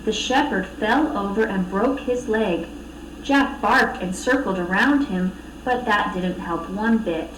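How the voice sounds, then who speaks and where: A woman reading a children's storybook aloud with expressive narration. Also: mid-range voice, a little slow, recorded from a distance. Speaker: storybook reader. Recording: echoey room, off mic, faint noise.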